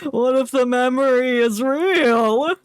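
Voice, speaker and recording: A woman's voice: high voice, slow, smooth, dry recording, studio quality. A woman streaming a game with casual, slangy, joking commentary, reacting to the story. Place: streaming setup, game audio behind.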